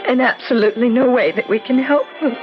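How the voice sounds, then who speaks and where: An actress playing a halting, emotional grieving woman in an old-time radio drama. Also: a little high, natural voice. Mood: stricken, resigned. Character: grieving woman, trying to accept a tragic loss. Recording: dull old radio recording, music behind.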